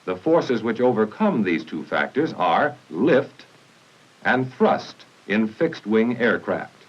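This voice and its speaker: Deep voiced american guy narrating an old 50s army training film, lively intonation, formal and measured, muffled noisy audio. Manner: formal, instructional, measured delivery. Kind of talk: 1950s military training film scripted narration.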